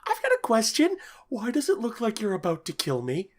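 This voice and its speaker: A man's voice: high-pitched, wildly swooping pitch, fairly quick. Glib, swooping fennec fox bounty hunter played by a man. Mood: nervous pleading. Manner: theatrical, glib. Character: graying male fennec fox, boastful, evasive, paranoid bounty hunter.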